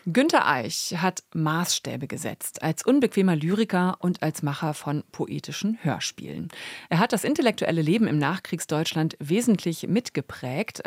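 A woman's voice: low-pitched, a little mellow, no room sound, clean studio sound. A woman presenting and interviewing on a radio culture programme.

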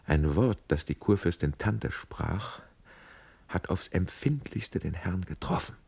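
An actor playing a grave, loyal officer friend in a classical verse radio drama, speaking in an earnest, admonishing manner. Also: male voice, deep voice, animated, slow, creaky, a little mellow, husky, full character voice. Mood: grave, concerned.

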